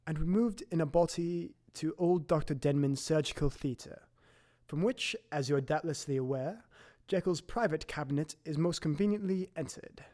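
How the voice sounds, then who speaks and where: A man playing a sceptical, proper gentleman doctor who narrates formally and precisely in an audio drama. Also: a little high, close to an everyday voice.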